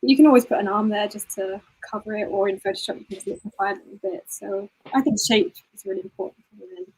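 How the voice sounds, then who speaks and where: A woman's voice: mid-range voice, fast, a little mellow, slightly rough, rough recording. A woman photographer answering interview questions in a chatty way, often saying "you know" and restarting sentences. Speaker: guest photographer.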